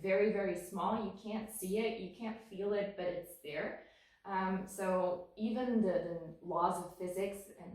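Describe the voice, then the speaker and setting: A woman's voice: fairly low pitch, darkish tone, recorded from a distance. A woman, answering interview questions in a thoughtful way, with frequent ums and restarts. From Belgium. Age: 30s. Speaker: guest. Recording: echoey room, voice a bit off-mic.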